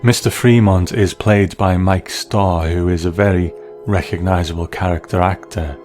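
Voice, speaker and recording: A man hosting a show, giving measured, reflective commentary as he reviews a piece. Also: sits low, steady tone, a little mellow. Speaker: podcast host. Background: music.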